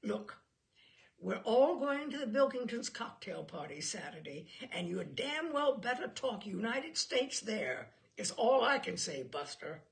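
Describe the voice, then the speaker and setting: A woman's voice: fairly high pitch, very animated, unhurried, vocal fry, full character voice. Woman acting an exasperated, blunt scolding wife.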